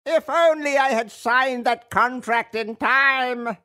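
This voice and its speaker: An actor playing a cranky, melodramatic old mad scientist in a cartoon game. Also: male voice, extremely high pitch, slow pace, sharp tone, exaggerated voice. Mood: regretful. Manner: melodramatic, grumbling.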